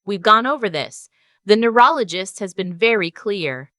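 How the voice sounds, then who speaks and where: A woman's voice: mid-range voice, expressive, normal speed, pushed and bright, no echo, clean studio sound. A woman voicing a controlling, scheming man with patronizing, tightly held patience in an audio story.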